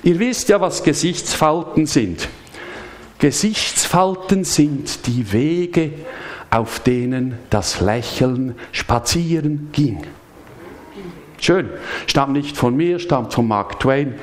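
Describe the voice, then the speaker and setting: Man preaching a lively chatty sermon. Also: medium pitch. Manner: lively, chatty, asks the congregation questions. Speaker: pastor. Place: church service.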